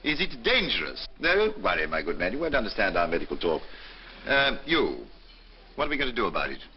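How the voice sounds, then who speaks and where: A man's voice: on the low side, wildly swooping pitch, brisk, slight background noise. An actor playing a pompous, bullying senior male surgeon who barks orders at junior doctors. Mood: lecturing. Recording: dull old film soundtrack with faint music.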